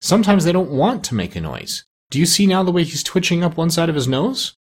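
A man voicing a bossy, proud, clever female pet parrot in a high voice, from a storybook. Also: sing-song, brisk, slightly rough. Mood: instructive. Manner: insistent, instructive.

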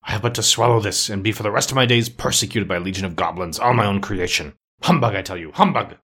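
A crusty old male miser from a storybook, played by a male narrator. His voice is rough and husky, with sceptical, sharp-tongued bluster that is shaken by fear. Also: fairly low pitch, fast talker. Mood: defiant. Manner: blustering, sharp-tongued, turning pleading when afraid.

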